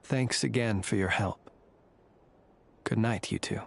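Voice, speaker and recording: An actor playing a composed, guarded and self-assured man of secrets in an anime game, speaking in a measured, formal way. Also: lowish voice, creaky, a little hiss or hum. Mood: calm.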